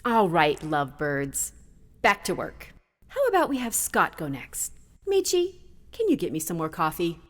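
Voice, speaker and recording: A woman playing an exacting, effusive high school drama teacher with a grand theatrical manner in an audio comedy. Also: wildly swooping pitch, measured pace, a bit bright, heavily acted. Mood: brisk, commanding.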